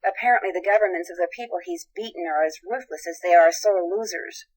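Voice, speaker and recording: A woman's voice: lowish voice, brisk, natural voice, rough recording. A woman playing a polite, composed young amateur detective in a video game, articulate and probing. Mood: inquisitive, earnest.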